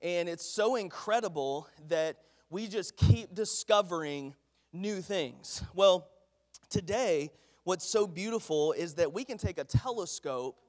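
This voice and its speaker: A man's voice: sits high, a little mellow. A man preaching a folksy, earnest sermon while congested with a head cold. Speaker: pastor. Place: church sanctuary. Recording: echoey church hall.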